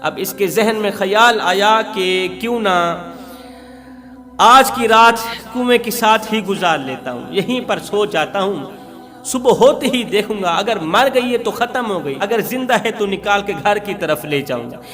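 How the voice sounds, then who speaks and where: A man's voice: high voice, lively intonation, unhurried, a bit bright. A man delivering an impassioned sermon as a dramatic story, pleading and repeating phrases for emphasis as a preacher. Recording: long reverb, music behind.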